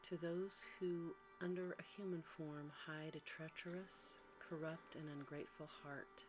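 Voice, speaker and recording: A woman voicing a kind, candid, timid young woman in a fairy tale, softly, politely and earnestly. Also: deep voice, fairly even intonation, a little slow, music in the background. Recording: faint background noise.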